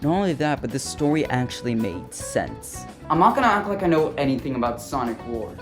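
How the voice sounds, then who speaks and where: A man delivering casual, scripted comedic commentary for a video essay, with a flippant, slangy, jokey manner. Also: medium pitch. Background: upbeat video game music.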